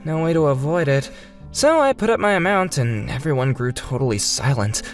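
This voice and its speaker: A woman's voice: extremely low pitch, very animated, normal speed, soft background music. A woman voicing an earnest, bewildered fifth-grade boy who narrates his own story in a manga dub. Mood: resigned. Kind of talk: scripted first-person narration in a manga dub.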